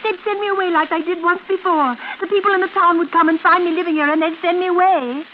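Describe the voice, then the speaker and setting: Girlish madwoman, extremely high voice, plaintive, anxious, fragile, old radio thriller, narrow tinny sound. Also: steady tone, fast talker. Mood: anxious pleading. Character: fragile, childlike, pleading mad twin sister. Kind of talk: old-time radio suspense acting. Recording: noisy, tinny vintage radio broadcast.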